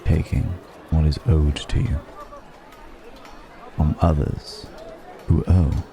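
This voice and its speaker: Man acting a very deep, gravelly dragon stranger. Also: flat delivery, full character voice. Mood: musing, challenging. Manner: deliberate, musing, gently mocking, long pauses. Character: suave, probing male dragon posing as a curious stranger. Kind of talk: ASMR roleplay, intimate dramatic monologue. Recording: background music and crowd murmur.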